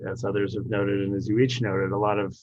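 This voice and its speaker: Male dean, low-voiced, measured and halting reflective address, slightly dull audio. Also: level tone, darkish tone. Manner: halting, measured, thoughtful phrasing. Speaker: law school dean. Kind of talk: reflective closing remarks.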